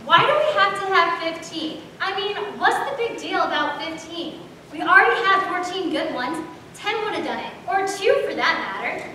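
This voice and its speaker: Teen girl, earnest stage delivery, very high voice, heard from far back in an echoing hall. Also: expressive, moderate pace, bright, forceful tone, slight background noise. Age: teens. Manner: earnest, reasoning, conversational. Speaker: high school student performer. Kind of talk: readers theater stage performance.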